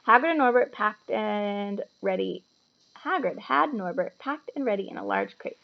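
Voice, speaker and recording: A woman reading a story aloud to children in an animated, explanatory way, with chatty asides. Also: mid-range voice, normal speed, creaky, highs cut off, a little hiss or hum.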